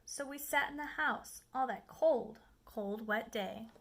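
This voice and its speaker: A woman reading a children's story aloud as an expressive narrator, with emphatic, dramatic phrasing. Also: a little high, unhurried, creaky, noisy background. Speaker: storybook reader.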